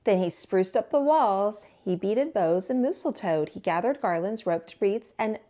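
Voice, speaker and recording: A woman reading a picture book aloud as a teacher would at storytime, expressive, with theatrical drawn-out emphasis on words. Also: medium pitch.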